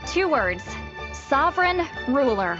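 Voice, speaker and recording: A woman's voice: sits high, sing-song, slow pace, exaggerated voice. A woman voicing a confident, dryly witty female game character who explains things in an articulate, knowing way. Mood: dry, smug. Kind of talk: video game voice acting. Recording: dull game audio under loud music.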